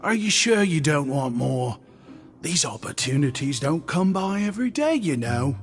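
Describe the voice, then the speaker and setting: Lively, easygoing game shopkeeper. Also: male voice, fairly high pitch, normal speed, faint music behind. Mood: generous, persuasive. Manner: breezy, courteous, ingratiating. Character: genial, easygoing male shopkeeper, flattering and generous. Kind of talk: video game character voice acting.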